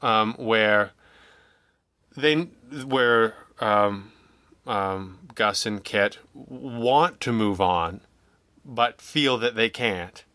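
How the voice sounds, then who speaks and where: A man talking casually as an interview guest, telling stories in an animated, jokey, self-deprecating way and acting out remarks he quotes. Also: lowish voice, extremely slow, dry recording. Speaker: guest, audio drama writer and producer.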